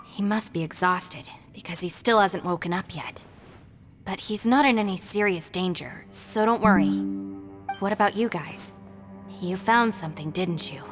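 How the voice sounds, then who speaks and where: A mid-pitched, level-headed young woman, voiced by an actress in a story game. She sounds practical, calm and perceptive, over faint music. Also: moderate pace, close to an everyday voice. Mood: concerned. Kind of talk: video game story acting.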